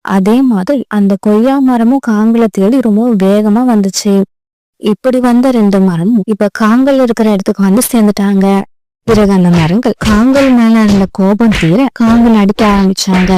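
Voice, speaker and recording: A woman's voice: mid-range voice, moderate pace, mellow, a little hiss or hum, low-quality recording. A woman narrating a scripted children's story.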